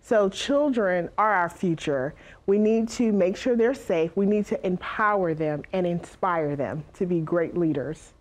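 Earnest woman, lowish voice, studio. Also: unhurried. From South Carolina. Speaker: missing persons advocate, former police officer. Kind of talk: direct-to-camera personal talk. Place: studio stage.

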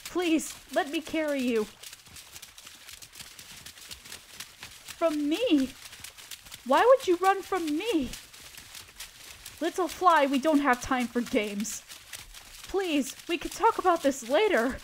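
A woman playing a gentle, anxious, motherly spider queen in an audio roleplay, speaking tenderly and pleadingly, with careful phrasing. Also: sits high, lively intonation, slow pace, long pauses, a little hiss or hum. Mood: alarmed, pleading. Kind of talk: scripted audio roleplay addressed to listener.

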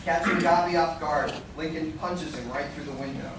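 A man's voice: a little high, steady tone, normal speed. A man reading scripted stage directions as narrator of a live radio play. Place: large hotel conference room with seated audience. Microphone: distant audience camera. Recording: distant echoey room, loud crowd and noise.

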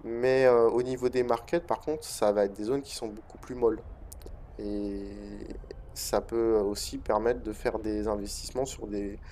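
Casting note: man, stuffy with a cold, mellow dark tone, hesitant gaming commentary, faint room hiss. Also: fairly low pitch, steady tone, a little slow. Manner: hesitant, many "euh" fillers and restarts. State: has a head cold. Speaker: gaming video host. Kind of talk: relaxed commentary over gameplay.

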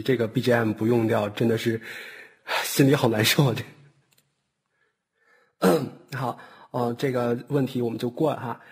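Man, chat host, mid pitch, hesitant, teasing, informal, faint music behind. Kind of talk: informal cast chat hosting.

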